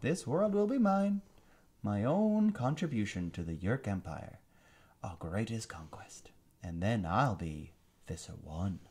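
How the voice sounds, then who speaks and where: A man's voice: fairly low pitch, very animated, a little slow, dark tone, slightly rough, full character voice. A man voicing a gloating evil alien warlord who sneers and draws out his words in a mock-British manner. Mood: menacing.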